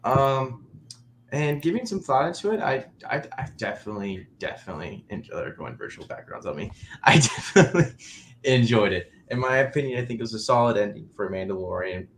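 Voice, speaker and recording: A man hosting a casual podcast chat, slightly hoarse from a cold, chatty and quick to laugh. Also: medium pitch, lively intonation, slight background noise. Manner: chatty, laughs often, many "you know"s. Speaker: podcast host.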